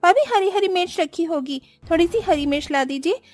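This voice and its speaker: A very shrill-pitched young-sounding cartoon sister-in-law played by a woman, whiny and entitled. She is a glutton who orders food as her due, recorded close and dry. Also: a little fast, no room sound. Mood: demanding. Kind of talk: cartoon moral story dialogue.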